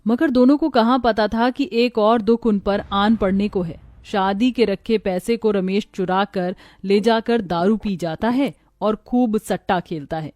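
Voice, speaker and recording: A woman narrating a children's cartoon story in a gentle, storytelling way. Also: medium pitch, unhurried, few pauses, no room sound, clean studio sound.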